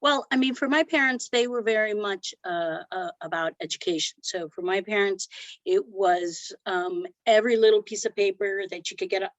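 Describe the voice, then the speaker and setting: A woman's voice: mid-range voice, moderate pace, no room sound. A middle-aged woman born in Cuba, a podcast guest talking reflectively with frequent fillers and restarts. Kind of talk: conversational interview. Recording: dull lo-fi sound, dry.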